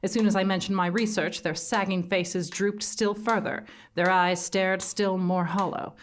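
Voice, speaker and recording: A woman's voice: mid-range voice, fairly even intonation, moderate pace, slight background noise, lightly acted. A woman voicing a nervous, earnest academic in a live-staged radio drama. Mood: anxious. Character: anxious Canadian academic, Miss Prestoon.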